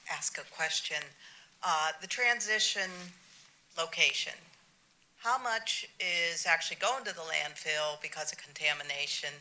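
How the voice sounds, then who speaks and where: Bright-toned woman resident asking questions. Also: on the low side, rough recording. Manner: direct, questioning. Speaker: resident speaking at public comment. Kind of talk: public comment.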